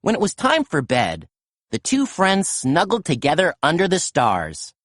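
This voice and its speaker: Husky-toned woman reading a picture book. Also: low voice, a little slow, dry recording, studio quality. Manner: gentle, expressive storytelling. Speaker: storytime reader. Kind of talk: children's book read-aloud.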